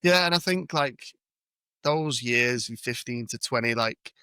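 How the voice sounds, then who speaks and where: A British man hosts a casual interview podcast with a bright, keen-edged voice. He talks in an informal, matey way. The sound is close and dry, with very little room in it. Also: medium pitch, measured pace, smooth, no echo. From United Kingdom. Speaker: podcast host.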